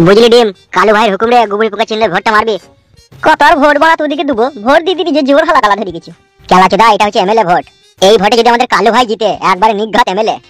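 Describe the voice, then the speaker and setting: A man's voice: high voice, very fast, fairly bright tone, no echo, faint music behind. A man with a rural West Bengal accent voicing an insistent, bullying, foul-mouthed political henchman in a comic cartoon. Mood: threatening. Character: pushy, foul-mouthed male party henchman canvassing for votes. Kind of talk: fast comic cartoon dialogue.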